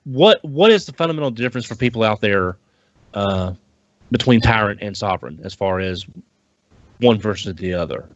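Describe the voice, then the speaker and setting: Halting man in dry, muffled audio. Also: fairly low pitch, expressive, dry recording. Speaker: podcast panelist. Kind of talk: casual chat. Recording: slightly dull, lo-fi sound.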